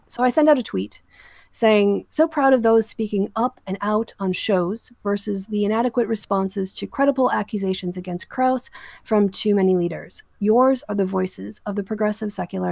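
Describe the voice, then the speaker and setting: Woman host with slightly creaky voice. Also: medium pitch, a little mellow, dry recording. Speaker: host of an online discussion channel. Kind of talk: casual commentary with guests.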